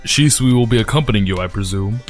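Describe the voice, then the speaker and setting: A man playing a stern, scheming middle-aged clan leader and father in an audio drama, formal and deliberate. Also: on the low side, faint music behind, close to an everyday voice. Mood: stern, calculating. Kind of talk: fan audio drama acting.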